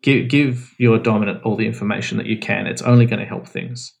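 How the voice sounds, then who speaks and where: Gravelly-voiced man giving relaxed advice. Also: fairly low pitch, brisk. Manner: relaxed, explanatory, many restarts. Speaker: guest answering questions. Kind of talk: casual podcast advice chat.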